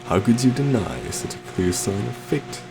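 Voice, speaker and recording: A man's voice: mid-range voice, expressive, normal speed, over music, natural voice. A man playing a suave, possessive stage magician in an ASMR roleplay, theatrical and courtly, coaxing sweetly with a menacing undertone. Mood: smug, coaxing. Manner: theatrical, courtly, soft coaxing with terms of endearment.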